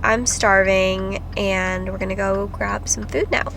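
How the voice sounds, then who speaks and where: A woman's voice: medium pitch, level tone, measured pace, vocal fry, fairly bright tone, a little hiss or hum. A woman, a travel vlogger chatting casually to the camera, a little congested from a cold, with lots of "like" and "um". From Philippines. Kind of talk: casual vlog talk to camera. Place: driving around and outdoors in summer.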